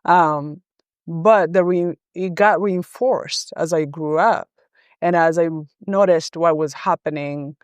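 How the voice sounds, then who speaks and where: Middle-aged woman guest, measured and hesitant, clean resonant tone, dry close sound. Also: fairly low pitch, smooth, dry recording. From Rwanda, raised in Uganda. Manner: thoughtful, hesitant with many ums. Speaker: interview guest, gender professional. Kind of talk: conversational interview.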